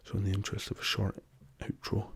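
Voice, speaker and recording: A young man with a hoarse, raspy voice from a lingering cough, talking softly and hesitantly as an ASMR host, slipping into whispers. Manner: soft-spoken, slow and hesitant with many ums, drifting into whispers. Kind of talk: soft-spoken ASMR travel chat.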